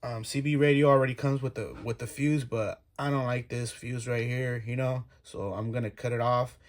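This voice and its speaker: A man's voice: medium pitch, fairly even intonation, normal speed. A man giving an informal hands-on DIY tutorial, talking through each step as he works. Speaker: DIY video host. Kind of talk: casual hands-on tutorial talk. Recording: clear background noise, clicks.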